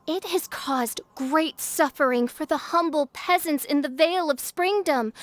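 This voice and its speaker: A woman's voice: high voice, measured pace, dry recording. A woman playing a haughty, theatrical teenage girl in an anime video game, with grand archaic diction. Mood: grandiose. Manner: pompous, archaic flowery diction with German phrases. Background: game music.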